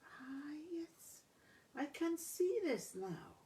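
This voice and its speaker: A woman reading a memoir and voicing an earnest woman who puzzles things out in halting, breathy phrases. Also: high voice, very animated, warm tone, a little hiss or hum, pitched above the performer's own voice, heavily acted. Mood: pondering. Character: Annalie, earnest woman puzzling out song lyrics. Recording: roomy, echoing room sound.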